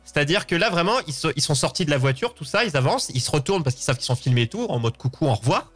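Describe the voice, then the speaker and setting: A man's voice: a little high, big pitch swings, very fast, a bit bright, breathy edge, soft background music. A man hosting a casual, unscripted talk show, often rephrasing himself as he goes. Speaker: host of a live call-in show.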